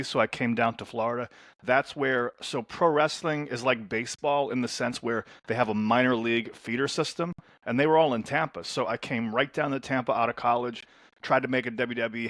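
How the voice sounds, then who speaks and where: Lebanese-born guy coaching men, talks fast and excited, repeats himself starting out, dry close sound. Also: mid-range voice, no echo. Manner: energetic, storytelling, repeats words when starting sentences. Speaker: men's transformation coach, guest. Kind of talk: casual interview talk.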